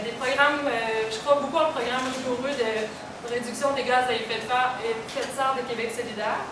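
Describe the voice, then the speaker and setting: A woman in her twenties with a Québécois accent, a candidate giving a hesitant press conference statement full of fillers. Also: fairly high pitch. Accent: Québécois French. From Quebec. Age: 20s. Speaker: election candidate. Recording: echoey, distant, noisy.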